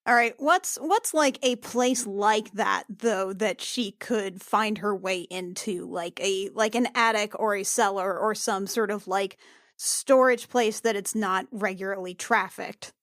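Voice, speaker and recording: A woman's voice: medium pitch, a little slow, a bit bright, dry recording, clean studio sound. A woman playing a tabletop game, talking informally and hesitantly as she thinks aloud and describes her character's actions. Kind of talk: casual improvised game talk.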